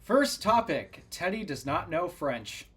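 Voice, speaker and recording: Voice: mid-range voice, very animated, takes it slowly, fairly bright tone, slight background noise. A co-host on a casual tech podcast, teasing the host and laughing often.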